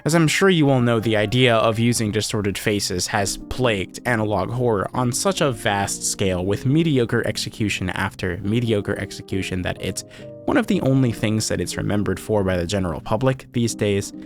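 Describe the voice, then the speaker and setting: Low-voiced man narrating a video essay over music. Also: normal speed. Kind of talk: scripted video essay narration, analytical commentary.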